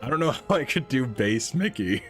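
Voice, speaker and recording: A man with a naturally deep voice chatting casually while playing a game, rambling and laughing at himself. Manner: rambling, self-amused, laughs often. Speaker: game streamer. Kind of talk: casual gaming commentary.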